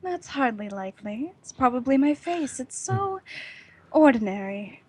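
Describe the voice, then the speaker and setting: An actress playing a coy, poised young nurse in an old-time radio drama, with a softly teasing manner. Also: female voice, high voice, very animated, unhurried, husky, heavily acted. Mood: demure.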